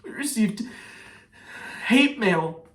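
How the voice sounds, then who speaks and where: A man performing a stage monologue as a shaken, bitter trial juror, speaking haltingly like someone giving testimony. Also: sits high, lively intonation, full character voice. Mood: distressed. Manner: halting, with pauses and repeated phrases. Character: shaken male trial juror, hounded, guilt-ridden and bitter. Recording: roomy echo, distant mic.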